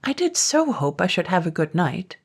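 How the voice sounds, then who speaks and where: Lady voicing a tired fussy storybook dragon, whiny and plaintive, husky. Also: fairly low pitch, sing-song, normal speed, heavily acted. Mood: fretful. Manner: plaintive, fretful. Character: weary fussy male dragon, polite and put-upon.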